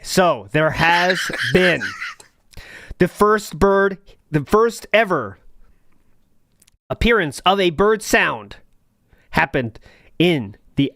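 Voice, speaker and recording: A man's voice: on the high side, a bit bright, no room sound, clean studio sound. A man hosting a casual comedy podcast, commenting mockingly on clips and swearing freely. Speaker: podcast host.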